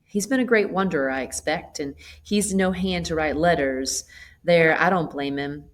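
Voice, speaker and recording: A woman's voice: low-pitched, moderate pace, natural voice. A woman voicing a chatty, hospitable old country grandmother in a storybook, with a folksy, gossipy manner. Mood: wistful. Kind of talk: storybook character voice.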